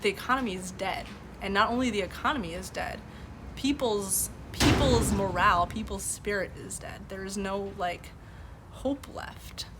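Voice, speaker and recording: A woman's voice: mid-range voice, normal speed, background noise. A woman whose first language is Farsi, hosting a live stream from her home, talking earnestly about current events and answering chat with many fillers and restarts. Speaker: livestream host. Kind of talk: casual political commentary, reading chat. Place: home room with boxes behind.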